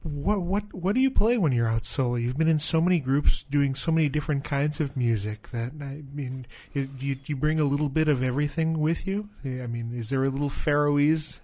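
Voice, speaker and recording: A man's voice: on the high side, brisk, few pauses, warm tone. A man hosting a radio show, interviewing a guest in a casual, conversational style.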